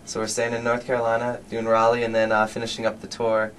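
A man in his twenties, a band member in a radio interview, chatting in a relaxed way. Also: medium pitch, fast talker, far from the mic, slight background noise. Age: 20s. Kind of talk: casual interview talk. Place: radio studio.

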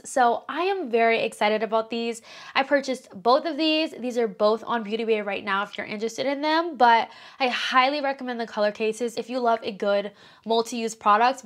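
A young woman talks through new makeup launches with bubbly, chatty enthusiasm, her voice a touch strained and sitting on the higher side. She speaks straight to camera from a small home studio. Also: a bit bright. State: slightly strained voice after screaming. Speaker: beauty vlogger. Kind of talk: casual makeup review to camera.

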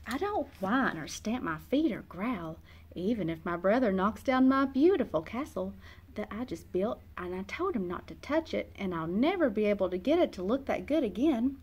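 A woman's voice: medium pitch, expressive, normal speed, a little mellow, husky, dull audio. A woman in her thirties reading a children's picture book aloud, expressively acting out the feelings of the young narrator. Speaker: read-aloud host, storyteller. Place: small indoor classroom or reading room.

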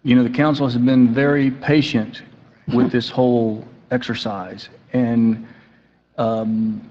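A man acting as mayor, firmly telling the council this has to stop, with halting restarts. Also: mid-range voice, level tone. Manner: firm, exasperated, halting. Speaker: mayor chairing meeting. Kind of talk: chairing a council meeting. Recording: dull meeting audio with long hall reverb.

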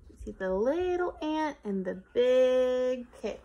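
A woman in her thirties reading a picture book aloud to young children in an expressive, sing-song manner. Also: high-pitched, takes it slowly, highs cut off. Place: living room on a couch, indoors.

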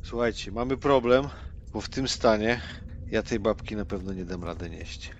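A man's voice: on the low side, normal speed, highs cut off, slight background noise, lightly acted. A man playing a brash, sardonic nerd in his thirties, blunt and colloquial, in a roleplaying game. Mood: blunt, impatient.

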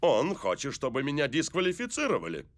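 A man's voice: on the high side, huge pitch swings, a little fast. A man voicing a self-assured, determined star footballer in a cartoon, cool and resolute. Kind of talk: dubbed cartoon acting.

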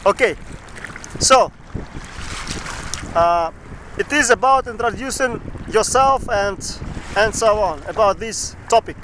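A man in his thirties gives a language lesson in a very high, light voice, speaking slowly and repeating each word twice. He films himself at arm's length on a boat, with a faint rush of wind and water behind him. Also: bright, forceful tone, low-quality recording. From Bashkortostan. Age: 30s. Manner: patient, repetitive, drills words twice. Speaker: language teacher. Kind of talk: casual video lesson, repeating words slowly. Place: outdoors on a boat on a wide river. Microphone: camera mic, selfie framing at arm's length.

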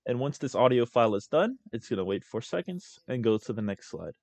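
A young man hosting a casual screen-recorded software tutorial as a voice-over. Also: medium pitch, very animated, a little fast, gravelly, dry recording. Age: young adult. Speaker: tutorial host.

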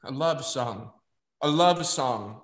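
A man's voice: mid-range voice, expressive, slow. A man playing a boisterous, merry drinking knight in a pompous, declamatory way for an online play reading. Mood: merry, loud. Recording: echoey room over video call.

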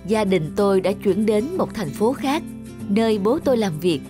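A woman delivers scripted story narration. Music plays clearly behind her voice throughout. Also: mid-range voice, animated, normal speed.

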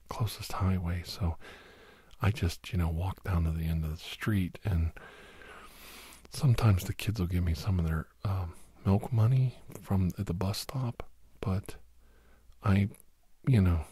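Middle-aged American male, low and very breathy whisper, halting conspiratorial ASMR podcast rambler, close-miked. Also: warm tone, no echo. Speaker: podcast host. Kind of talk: whispered ASMR comic monologue. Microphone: close-up microphone, monitored on headphones.

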